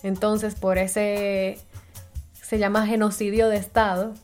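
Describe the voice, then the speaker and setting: A woman with a Dominican accent talks casually on a chat show, her voice sitting at an ordinary middle pitch. She works through her explanation with plenty of fillers and self-corrections, and faint music plays behind her. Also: little pitch movement, slow pace, darkish tone. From Dominican Republic. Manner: explains with many fillers and restarts. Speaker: co-host.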